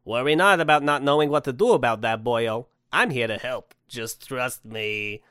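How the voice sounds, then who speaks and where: A man's voice: medium pitch, normal speed, sharp tone, clear, resonant tone, no echo, clean studio sound, lightly acted. A man voicing a jolly, eccentric Santa for a story, folksy, brash and cajoling. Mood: reassuring. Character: jolly eccentric Santa, brash, pushy, tube-obsessed wish granter.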